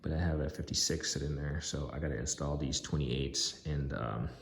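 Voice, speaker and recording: A man talking through his garage tool-storage setup in a casual, halting way with many ums and restarts, his voice going hoarse, filmed on a handheld phone. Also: extremely low pitch, fairly even intonation, echoey room. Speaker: DIY garage vlogger. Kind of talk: casual rambling walkthrough. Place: home garage. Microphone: handheld phone camera.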